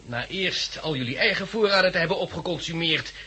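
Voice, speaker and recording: A higher-voiced, indignant blind man. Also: animated, a little fast, husky, heavily acted. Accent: Netherlands Dutch. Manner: sharp, accusing. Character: indignant blind man, hot-headed and bitter. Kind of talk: 1960s Dutch radio drama acting.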